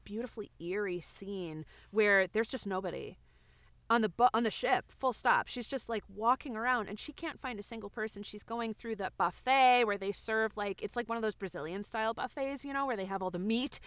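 A woman playing an excitable, bookish amateur podcaster in an improvised comedy, chatting effusively and repeating words for emphasis. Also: mid-range voice, a little fast, no echo, natural voice. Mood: animated recapping. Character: gushing, bookish female amateur podcaster, excitable writer friend. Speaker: co-host of a book review podcast.

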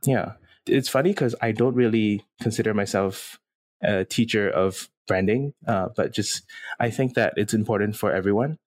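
Man from Singapore, very low voice, dry close sound, relaxed podcast guest, reflective. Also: normal speed, dry recording. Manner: relaxed and reflective, frequent small laughs and restarts. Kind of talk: casual interview conversation.

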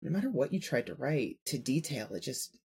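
A man's voice: medium pitch, very animated, fairly quick, a little mellow, breathy edge. A man narrating a scripted audio story, reading prose addressed in the second person.

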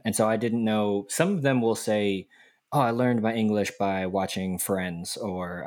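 A man from Texas hosts a podcast with a very low voice, heard close and dry with no room around it. He asks long, meandering questions and pads them with "uh" and "you know". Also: no room sound. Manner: rambling, hesitant questions. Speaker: podcast host. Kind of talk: casual conversational interview.

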